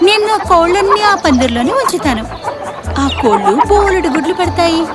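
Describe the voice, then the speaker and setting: Cartoon village milkmaid girl: very high, swooping, excitable and boastful daydreamer, over music. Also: brisk. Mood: scheming, excited. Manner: excitable, wistful musing aloud. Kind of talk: animated children's fairy tale acting.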